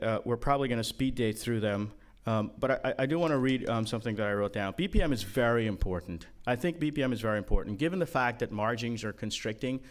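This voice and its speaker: A man from Guyana giving a casual, joking talk to a conference room, with many ums and uhs. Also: mid-range voice. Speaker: conference speaker.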